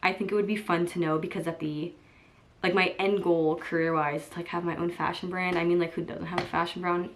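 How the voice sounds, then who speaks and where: A young woman in her twenties chatting casually to camera in a get-to-know-me vlog, full of "like" and "um" and quick laughs. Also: lowish voice, little pitch movement, a little hiss or hum. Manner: chatty, lots of "like" and "um", laughs easily. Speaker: vlogger.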